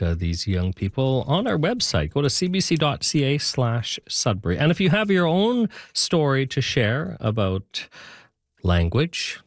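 A male radio host with an upbeat, chatty delivery. His pitch swings widely as he talks. The studio sound is dry and close. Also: no room sound. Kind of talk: radio hosting and interviewing.

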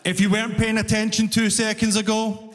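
A Scottish man with a Glaswegian accent performs stand-up in a high-pitched voice. His words ring out with long reverb from a live stage. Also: completely flat delivery, fairly quick. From Glasgow, Scotland. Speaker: stand-up comedian. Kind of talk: stand-up comedy routine. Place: live comedy venue on stage.

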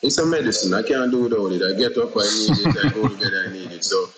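A Jamaican man talking about his music in a relaxed interview, unhurried and repetitive, often adding "you know what I say". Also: fairly low pitch, a loud crowd, low-quality recording. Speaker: independent musician guest. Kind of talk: casual interview answers.

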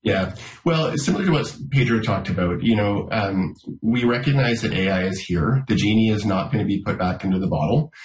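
A man working for a school district, giving measured interview answers with many "uh"s and "um"s. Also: lowish voice, lively intonation, roomy sound, rough recording. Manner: measured, filler-heavy explanations.

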